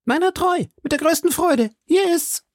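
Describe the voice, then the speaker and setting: A man voicing an excited, eager, shrill young stable boy in an audiobook. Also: moderate pace, no echo, studio quality. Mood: delighted. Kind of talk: audiobook character voice.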